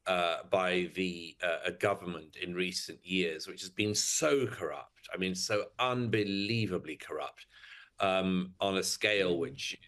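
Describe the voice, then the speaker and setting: Deep-voiced man, measured commentary. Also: a bit bright. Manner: measured, emphatic, hesitant restarts. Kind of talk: interview commentary.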